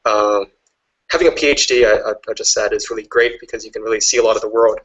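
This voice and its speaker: A man's voice: fairly low pitch, fast, fairly bright tone. A man in his thirties from Canada, introducing himself as a researcher on a video call, chatty with many fillers and restarts. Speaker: panelist, researcher. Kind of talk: casual self-introduction. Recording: dull lo-fi webinar audio.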